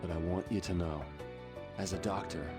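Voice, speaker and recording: An actor playing a gruff, weary, middle-aged surgeon with a dry, grumbling manner in an animated game drama. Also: male voice, sits low, moderate pace, over loud music, close to an everyday voice. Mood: somber, confessing. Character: gruff, weary, guilt-ridden cynical male surgeon.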